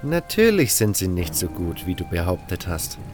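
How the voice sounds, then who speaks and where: An actor playing a cold, condescending baron who gives orders in a fantasy audio drama, with music underneath. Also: male voice, deep voice, huge pitch swings, moderate pace, a little mellow. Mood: cool, calculating. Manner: condescending, scornful. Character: cold, haughty male baron giving orders.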